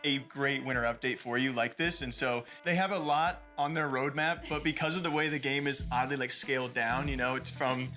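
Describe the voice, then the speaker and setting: A man from Iowa talks fast through game news, moving from one point to the next in long, rambling sentences. His voice is bright and forward. Faint music plays behind him. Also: mid-range voice, fairly even intonation. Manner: brisk, rambling explanations. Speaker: showcase co-presenter. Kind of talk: upbeat presenting of game news.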